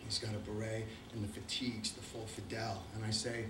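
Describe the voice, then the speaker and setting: A man's voice: on the low side, little pitch movement, brisk, background noise. A middle-aged man with an Argentine accent, telling a profane, wry travel story to a live audience. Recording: roomy, distant mic.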